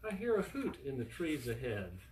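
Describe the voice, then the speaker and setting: A man reading as a gullible, lively storybook monster. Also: mid-range voice, normal speed, a little hiss or hum, poor audio. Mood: hungry, amazed. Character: scary monster Gruffalo, gullible and easily amazed.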